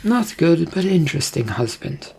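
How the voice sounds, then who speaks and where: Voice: medium pitch, very animated, moderate pace, creaky, darkish tone, slightly rough, voice pushed up, exaggerated voice. A narrator voicing a stern, imperious storybook queen with a commanding, questioning manner. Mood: stern, probing. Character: stern, probing fantasy queen, imperious wife.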